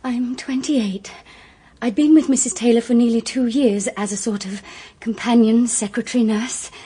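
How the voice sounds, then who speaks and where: A woman acting in a radio drama as a frightened, earnest young woman, hesitant and breathy. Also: on the high side, fairly even intonation, normal speed, mellow. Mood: anxious, explaining. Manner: hesitant, anxious.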